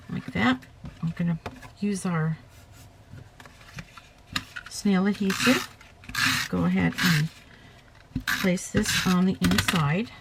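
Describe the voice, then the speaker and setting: A woman with a slight hoarseness from a cold, chatting her way through a paper craft tutorial at her table and correcting herself as she goes. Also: medium pitch, noisy background. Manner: chatty, talks while working, self-correcting.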